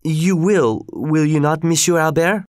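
Man voicing a higher-pitched pleading young French woman. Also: a little slow, dry recording, studio quality, lightly acted. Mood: explaining, pleading. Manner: pleading, excited, politely ironic. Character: pretty young French woman, frightened, polite, pleading, quietly clever.

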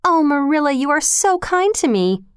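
A woman voicing an earnest, excitable, dreamy young girl in a storybook, gushing and breathless. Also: high-pitched, normal speed, no echo, studio quality, voice pushed up. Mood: excited. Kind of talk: storybook character voice.

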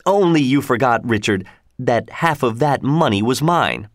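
A man's voice: medium pitch, animated, measured pace, no echo, studio quality, close to an everyday voice. Man playing a skeptical, questioning theater manager. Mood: dry, suspicious. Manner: questioning, pointed.

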